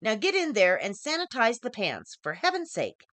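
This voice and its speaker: A woman's voice: fairly high pitch, sing-song, moderate pace, fairly bright tone, dry recording. A woman voicing a stern, scolding mother who lectures and explains in a story reading. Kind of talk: acted dialogue in short story reading.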